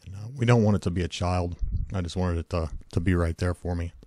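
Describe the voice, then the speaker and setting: A deep-voiced man, hoarse from a cold, talks through a screen-recorded tutorial in a loose, rambling way, full of "uh"s and restarts. His tone is dark and mellow, and the sound is dry and close. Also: steady tone, fast, dry recording. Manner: casual, rambling, many fillers and self-corrections. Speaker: tutorial host.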